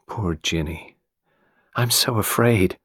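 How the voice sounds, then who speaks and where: A man voicing a tormented, anxious young woman in an audiobook, with a hoarse edge. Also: slow, no echo, studio quality, full character voice. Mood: afraid. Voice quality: deep, hoarse, hushed.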